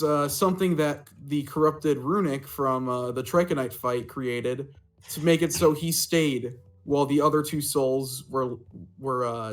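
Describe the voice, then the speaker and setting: A man chatting casually as a player on a role-play game server, talking over online voice chat. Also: mid-range voice.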